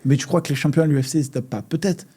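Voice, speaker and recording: An emphatic French fighter talks things through on a podcast. His voice is husky and mellow, and he drives his points home with lots of fillers and quick restarts. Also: on the high side. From France. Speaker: MMA fighter guest. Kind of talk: casual podcast discussion.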